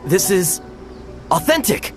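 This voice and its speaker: A man's voice: high voice, very animated, takes it slowly, heavily acted. A man playing a dutiful, strict palace gate guard in a video game, with a formal, officious manner. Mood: deferential. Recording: music behind the voice. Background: game music.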